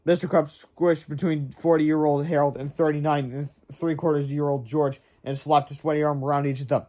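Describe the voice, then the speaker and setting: Slightly high-pitched man reading a storybook aloud. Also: level tone, normal speed, darkish tone. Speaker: read-aloud narrator. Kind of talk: storybook narration with casual asides.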